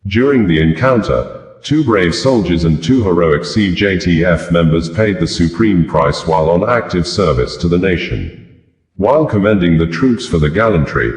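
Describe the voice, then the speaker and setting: A man with a Nigerian accent reads scripted news as a presenter in a formal, measured manner. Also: low-pitched. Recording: roomy, echoing voice.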